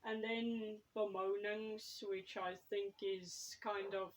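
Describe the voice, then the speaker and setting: Slow-talking woman. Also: medium pitch, level tone, slight background noise, poor audio. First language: Afrikaans. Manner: hesitant, thinking aloud with fillers; consults her notes while talking. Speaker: writer and vlogger. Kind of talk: casual talk to camera.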